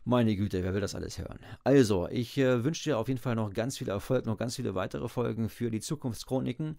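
Gravelly man, casual congratulatory message. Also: medium pitch, a little fast, mellow, dry recording, studio quality.

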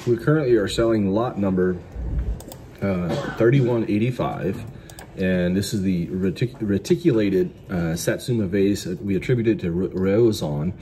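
Man, American accent, middle-aged, auctioneer, dark relaxed tone, casual commentary, noisy background. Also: low-pitched, moderate pace. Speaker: auctioneer and vlog host. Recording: clear background noise.

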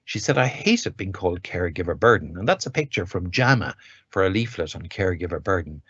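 A lecturer in his sixties speaks over a webcam from a study lined with bookshelves. His voice sits very low, and the room sounds close and dead. The audio comes through muffled and lo-fi, yet he talks with lively enthusiasm and stresses his points. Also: brisk, slightly rough, dry recording. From Ireland. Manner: enthusiastic, reads quotes aloud with emphasis. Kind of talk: academic lecture. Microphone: webcam on a video call. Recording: dull lo-fi video call audio, dry.

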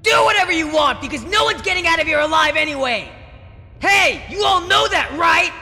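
A man voicing a panicked, hostile trapped survivor in an anime fan dub, shouting confrontationally. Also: very high voice, lively intonation, fairly quick, exaggerated voice. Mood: defiant, furious. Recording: echoey hall, music behind.

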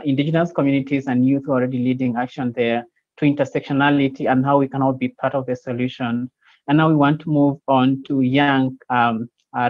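A young man hosts a formal panel and introduces speakers. His voice sits at a middle pitch, heard through slightly dull video call audio. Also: darkish tone. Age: young adult. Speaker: moderator. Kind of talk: formal event moderation. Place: room with shelves, video call.

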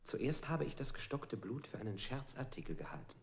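An actor playing a stubbornly evasive prisoner under interrogation in a radio play, reciting detached statements in a formal, measured way. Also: male voice, medium pitch, sing-song, creaky. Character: captive man under brutal interrogation, evasive, stubbornly repetitive. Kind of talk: avant-garde radio play, stylised delivery. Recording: echoey, very noisy old radio play recording.

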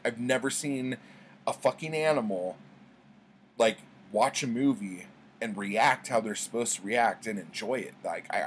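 A man's voice: mid-range voice, slight background noise. A middle-aged man talking casually and conversationally to camera in a rambling, slangy, profane way, as a vlogger at home. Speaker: book vlogger. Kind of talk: casual chat, rambling storytelling. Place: small indoor room at home with bookshelves.

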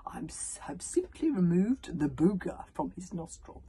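A woman's voice: fairly low pitch, big pitch swings, moderate pace, dark tone, slight background noise. A woman voicing a boastful middle-aged male hero with a grand, flowery, self-satisfied manner in a storybook. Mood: smug boasting.

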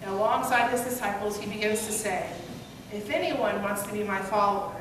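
A woman's voice: mid-range voice, a little fast. A young woman pastor at a church pulpit, preaching a first-person story sermon in an earnest, conversational storytelling manner. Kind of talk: first-person dramatic monologue sermon as Peter. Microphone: gooseneck pulpit mic, far camera. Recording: echoey hall, distant and noisy.

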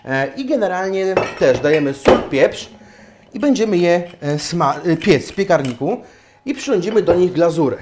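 A man's voice: high-pitched, animated, moderate pace, heavy background noise. A man in his thirties hosting a cooking show, explaining each step in a chatty way while he cooks in a small home kitchen. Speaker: cooking show host, chef. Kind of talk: casual cooking chat on a live stream. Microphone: lapel mic.

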